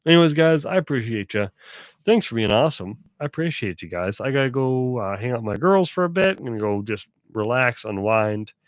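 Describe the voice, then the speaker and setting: A man chats to his live-stream viewers at an ordinary pitch, rambling along and correcting himself as he goes. His voice sounds stuffy and a little sore. The sound is dry and close, with no room echo. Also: lively intonation, fairly quick. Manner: rambling, self-correcting, chatty. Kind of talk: casual live-stream chat.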